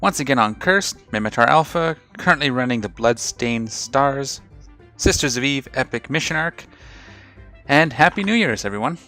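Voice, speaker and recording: A man hosting a casual game video, talking through his ship setup with a slightly rough, hoarse voice. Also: medium pitch, normal speed, faint music behind. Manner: relaxed, rambling, explains ship fittings. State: slightly rough voice after a night of singing. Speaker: gaming channel host. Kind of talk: casual gameplay commentary.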